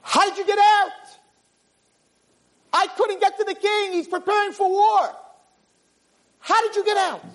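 A man's voice: on the high side, quick pace, lightly acted. A man voicing an incredulous, self-important government minister in a told story, asking insistent questions. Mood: astonished.

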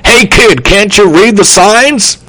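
A man voicing a big, gruff construction worker who scolds in a stern, booming, exasperated way for a children's story. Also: huge pitch swings, moderate pace, a bit bright, heavily acted. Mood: stern warning.

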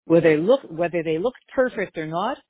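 A woman's voice: fairly low pitch, lively intonation, fairly quick, husky, no echo. A woman giving a religious class, explaining with rhetorical questions and everyday examples. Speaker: Torah teacher. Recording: tinny phone-like line.

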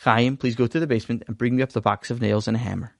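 Man playing a weary dad: calm, patient, sighs often, no room sound. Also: medium pitch, fast, gravelly, dry recording, lightly acted. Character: patient, weary adult father.

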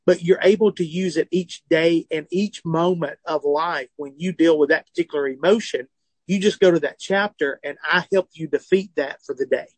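A man's voice: on the high side, normal speed, breathy edge, no room sound. A man in his forties with a Southern US accent, a comedian and author telling his story in an interview from a tour bus. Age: 40s. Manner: animated, earnest, self-deprecating humor. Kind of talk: phone or remote interview, candid storytelling.

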